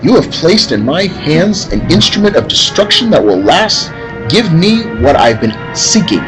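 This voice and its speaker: Wildly swooping megalomaniac villain. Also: male voice, a little high, sing-song, normal speed, music in the background, low-quality recording. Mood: gloating triumph. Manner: grandiose, gloating, theatrical. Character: male megalomaniac mad villain seeking world domination. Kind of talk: live old-time radio drama acting.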